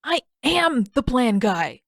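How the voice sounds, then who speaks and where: A woman's voice: fairly high pitch, big pitch swings, takes it slowly, vocal fry, fairly bright tone, dry recording, studio quality, voice pushed up, full character voice. Woman voicing an exasperated, whiny teenage boy. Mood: indignant whining. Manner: indignant, emphatic complaining.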